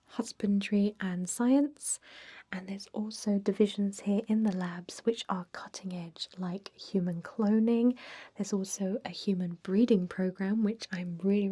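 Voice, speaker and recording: A friendly female vampire, played by a woman, conducts a job interview as an ASMR roleplay. She speaks in a soft, breathy whisper with a dark, mellow tone. Her manner is gentle, chatty and encouraging, like that of an ageless immortal putting a recruit at ease. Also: mid-range voice. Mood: warm, encouraging.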